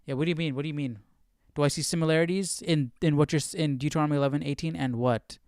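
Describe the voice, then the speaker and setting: A man hosting a live Bible study in a casual, questioning way, thinking out loud as he reads and comments. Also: fairly high pitch, steady tone, very fast, no echo, studio quality.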